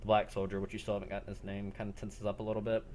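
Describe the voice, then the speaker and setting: A man running a roleplay game as game master, improvising descriptions in halting, paused phrases. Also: lowish voice, monotone, a little mellow, slight background noise.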